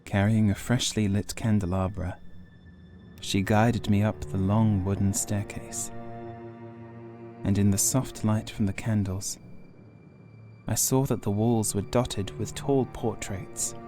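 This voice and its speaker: A man reading scripted Gothic horror as an audiobook narrator, in a measured, formal, literary delivery. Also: fairly low pitch, fairly even intonation, dark tone. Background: faint music.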